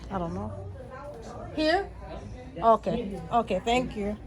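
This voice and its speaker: A woman from Jamaica vlogging casually while getting a pedicure in a nail salon, relaxed and chatty, laughing often. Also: medium pitch, slow pace, crowd noise. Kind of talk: casual vlog chat.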